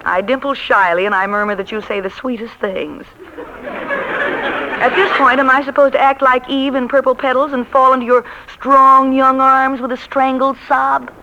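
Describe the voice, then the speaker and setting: An actress playing a proud, dryly sarcastic secretary turned novelist in a 1940s radio romantic comedy. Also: female voice, high-pitched, fairly even intonation, normal speed, sharp tone, dull audio, some chatter behind, slight background noise. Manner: cool, formal, dryly sarcastic. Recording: vintage 1940s radio recording.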